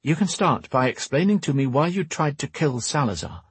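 A man's voice: a little high, animated, moderate pace, husky, dry recording, pitched above the performer's own voice. A man voicing a firm, formal young noblewoman with a commanding manner in an audiobook. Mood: stern. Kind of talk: audiobook character dialogue.

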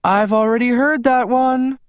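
A man voicing a sharp-tongued, sneering young woman vampire in an audiobook, with a snide, taunting manner. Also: high-pitched, lively intonation, slow pace, smooth, no echo, dull audio, voice pushed up. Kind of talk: audiobook character acting.

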